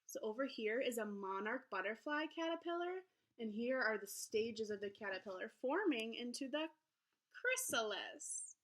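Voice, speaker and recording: Bright, high-voiced woman teacher reading aloud. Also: animated, normal speed. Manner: encouraging, speaking to young children. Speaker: elementary school teacher. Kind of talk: children's read-aloud lesson.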